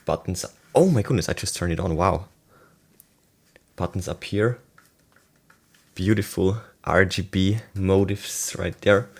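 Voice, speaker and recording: A man talking casually and enthusiastically through a hands-on product unboxing and review. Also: deep voice.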